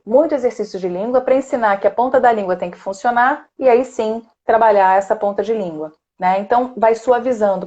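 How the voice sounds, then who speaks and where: A woman's voice: fairly high pitch, mellow, highs cut off. A woman speech therapist with a Rio de Janeiro accent, explaining voice technique in a live online chat and tagging her points with "né?". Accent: Rio de Janeiro Brazilian Portuguese. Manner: explanatory, demonstrates sounds, frequent "né?".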